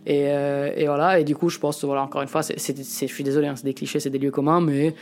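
A man in his late twenties from Italy, talking frankly and reflectively in an interview and often pausing to find his words. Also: a little high, level tone, a little mellow, slight background noise. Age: late 20s. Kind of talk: candid personal testimony.

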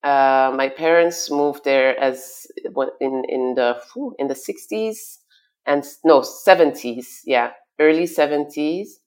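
Very low-voiced slow-talking woman guest. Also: a little mellow. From Belgium. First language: French. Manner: hesitant, many fillers and restarts, laughs often. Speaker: guest, stylist. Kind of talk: conversational interview.

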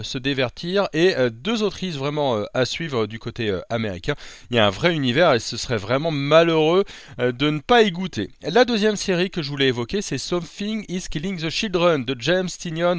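A man's voice: mid-range voice, no echo, clean studio sound. A man presenting a scripted podcast review segment, upbeat, with light asides and some hesitation. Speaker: podcast columnist.

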